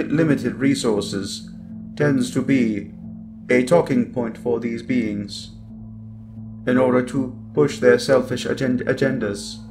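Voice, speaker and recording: A man's voice: medium pitch, natural voice. A man voicing a sinister, cold and grandiose robot overlord with glitchy, stuttering speech in an ASMR roleplay monologue. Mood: cold, disdainful.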